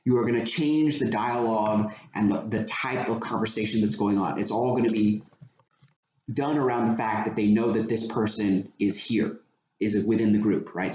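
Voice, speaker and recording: A man's voice: medium pitch, darkish tone. A man co-hosting a casual chat, musing and explaining his point with repeated phrases. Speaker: co-host. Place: living room, indoors. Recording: echoey living room with background noise.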